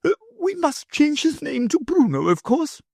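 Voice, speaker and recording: Male reader as a haughty scheming noblewoman: shrill, falsely sweet, wildly swooping delivery. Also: huge pitch swings, moderate pace, gravelly, darkish tone, no echo, clean studio sound, exaggerated voice. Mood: imperious. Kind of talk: audiobook character reading.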